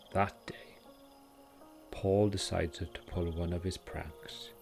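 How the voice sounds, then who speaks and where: A Welsh man gently narrating a scripted bedtime story in a soothing, calming way, as its host. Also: sits low. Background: music.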